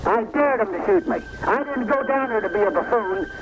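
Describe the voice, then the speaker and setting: A man speaking defiantly and forcefully in an archive clip. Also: very high voice, huge pitch swings, fairly quick, over a noisy crowd, low-quality recording. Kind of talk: angry, defiant speech in archive recording. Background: music under the archive clip.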